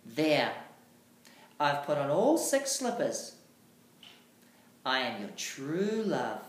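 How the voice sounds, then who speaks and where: Pushy ladybug stepsister for storybook theatre, acted out by a teacher, loud, exclaiming, in an echoey room. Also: mid-range voice, sing-song, slow pace. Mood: demanding. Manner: exclaiming, emphatic. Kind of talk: readers theatre storybook reading. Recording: echoey room, faint background noise.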